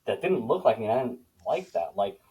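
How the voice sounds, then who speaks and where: A man's voice: medium pitch, big pitch swings, fairly quick, dark tone, rough recording. Rambling podcast host, man. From Philippines. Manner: rambling, repeats words, restarts questions. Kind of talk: casual interview chat.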